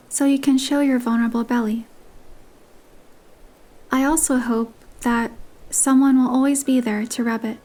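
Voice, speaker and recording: A woman's voice: high-pitched, a little mellow. A woman voicing a playful, tender young heroine who teases her beloved in romance game dialogue, with guitar music behind. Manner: teasing, affectionate.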